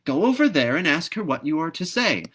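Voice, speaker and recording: A man voicing a bossy, irritable swallow in a storybook, brisk and insistent. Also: a little high, big pitch swings, fairly bright tone, slightly rough, pitched above the performer's own voice, full character voice. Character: bossy irritable swallow, self-styled legal expert. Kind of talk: storybook read-aloud character voice.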